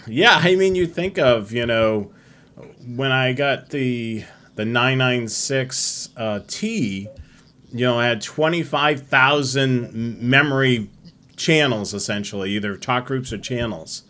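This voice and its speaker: A middle-aged man hosting a live-streamed hobby show, chatting in a relaxed, rambling way with many fillers. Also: medium pitch, slow pace. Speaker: host.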